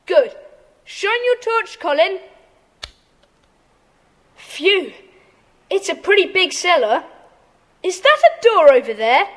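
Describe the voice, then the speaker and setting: A woman playing a bold but cautious schoolboy gang leader, brisk and commanding, in a children's audiobook drama. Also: very high-pitched, full character voice. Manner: brisk, commanding, hushed excitement. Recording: echoing hall, faint noise.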